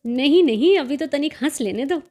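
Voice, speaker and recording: A woman's voice: sits high, animated, moderate pace, darkish tone. A woman voicing a playful, teasing young sister-in-law in a storybook reading. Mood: amused.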